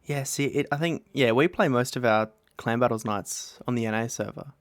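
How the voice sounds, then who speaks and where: A man guesting on a casual gaming podcast, easygoing and quick to laugh. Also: mid-range voice, no room sound, clean studio sound. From Australia.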